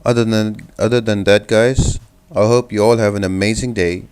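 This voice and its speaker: A man's voice: on the low side, fairly even intonation, normal speed, a little mellow, clean tone. A man giving an instructional, step-by-step gameplay tutorial commentary as the host of a game guide.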